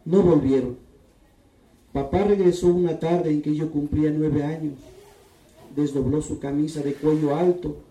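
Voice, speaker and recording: Man with a dark voice, reading from far off. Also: on the high side, moderate pace, pauses often. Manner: calm, steady reading voice. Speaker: writer reading his own text. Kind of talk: literary reading at a public event. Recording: echoey room, distant mic.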